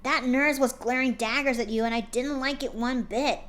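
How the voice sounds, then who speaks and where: A woman's voice: high voice, fairly even intonation, normal speed, fairly bright tone. A woman voicing a brash, blunt young female pegasus pony who talks in a direct, pressing way, in a fanfic reading. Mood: demanding.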